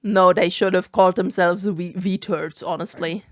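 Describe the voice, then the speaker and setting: A woman's voice: lowish voice, fairly even intonation, measured pace. A young woman with a German accent reacting to a video in casual commentary, incredulous and swearing often.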